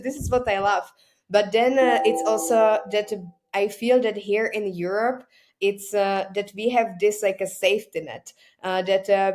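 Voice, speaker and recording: A woman podcast host with a Czech accent and a mid-range voice. She talks in a halting, conversational way, filling gaps with "uh" as she looks for words in her second language. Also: mid-range voice, darkish tone. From Czech Republic. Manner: hesitant, many "uh" fillers, searching for words. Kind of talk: casual interview chat.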